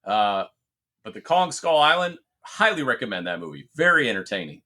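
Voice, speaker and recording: A man's voice: mid-range voice, big pitch swings, normal speed, pushed and bright. A middle-aged man chatting casually about pop culture as a podcast co-host, rambling and telling stories with some swearing.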